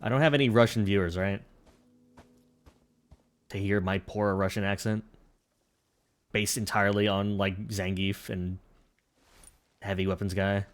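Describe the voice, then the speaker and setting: A man streaming a video game, chatting casually with viewers in an animated, laughing, self-deprecating way. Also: on the low side, measured pace, long pauses. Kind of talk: casual gameplay commentary.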